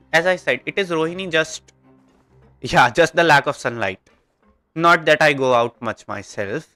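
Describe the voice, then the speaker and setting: A man's voice: a little high, bright, forceful tone, no room sound. A man with a North Indian accent giving casual, rambling live game commentary that switches between Hindi and English. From India, Delhi. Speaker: game livestreamer. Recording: game music behind.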